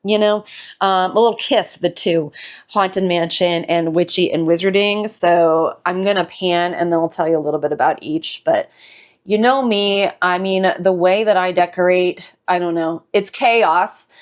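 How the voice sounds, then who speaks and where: Woman host, slightly low, hoarse and recovering; bubbly, rambling home-tour chat. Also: a little slow. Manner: bubbly, rambling, self-deprecating. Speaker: host of a home decor channel. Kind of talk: casual chatty home tour.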